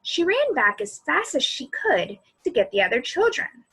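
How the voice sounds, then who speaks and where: Female children's storyteller, high voice with lively swings, expressive read-aloud that speaks to young listeners. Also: moderate pace. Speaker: children's librarian reading aloud.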